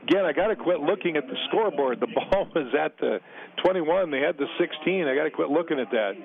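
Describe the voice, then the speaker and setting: A man calling a college football game on radio from a stadium press box, brisk on the plays and relaxed when chatting with guests. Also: on the high side, vocal fry. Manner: brisk play calls mixed with relaxed booth chat. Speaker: radio play-by-play announcer. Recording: narrow phone-like radio broadcast.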